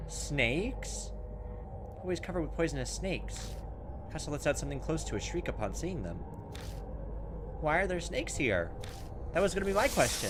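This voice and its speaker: Casual male gamer over noticeable background noise. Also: a little high. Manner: informal, self-deprecating, thinking aloud. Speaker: gaming video host. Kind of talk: casual game commentary mixed with reading on-screen text aloud.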